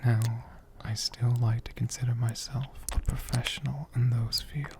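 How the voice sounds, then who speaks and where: A man voicing an ancient, gentle, affectionate dragon in soft-spoken whispered ASMR, lingering over words and softly repeating them. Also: mid-range voice, flat delivery, normal speed, background noise, lightly acted. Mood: soft, soothing. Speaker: ASMR host answering viewer questions. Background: computer keyboard typing.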